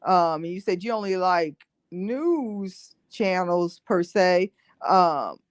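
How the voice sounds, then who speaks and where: A woman's voice: fairly low pitch, slow pace, no echo. A woman hosting a podcast, chatting casually and jumping in with questions. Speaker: podcast host. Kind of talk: casual chat.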